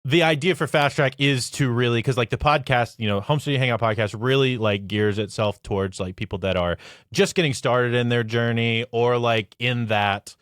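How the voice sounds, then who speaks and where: A man's voice: medium pitch, normal speed, fairly bright tone, clear, resonant tone, no room sound, studio quality. A man hosting a podcast, chatting in a laid-back way with frequent laughs and fillers. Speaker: podcast host. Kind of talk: casual podcast chat.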